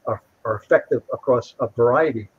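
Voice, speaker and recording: A man's voice: mid-range voice, a little fast, husky, no echo. An older man giving an informal comment as a participant in an online call. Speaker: event participant, former consultant. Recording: dull lo-fi call audio.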